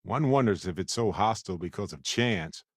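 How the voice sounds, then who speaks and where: An affable male space explorer and scientist for a video game. His voice sits low and moves around expressively. He is conversational, playful and wry, and the recording is dry and close. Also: lively intonation, moderate pace, dry recording. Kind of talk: video game companion dialogue.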